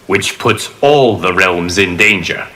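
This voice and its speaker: An actor playing a calm, solemn guardian god in a fighting game, speaking in a measured, formal way. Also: male voice, low-pitched. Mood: solemn, composed. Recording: loud game noise behind.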